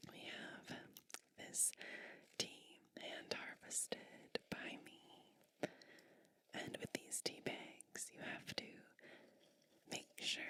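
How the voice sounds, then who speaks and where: Woman as a softly whispering, soothing witch. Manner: soft, soothing, slow and deliberate. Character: gentle, caring witch soothing someone to sleep. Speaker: ASMR performer. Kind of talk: whispered ASMR personal attention roleplay.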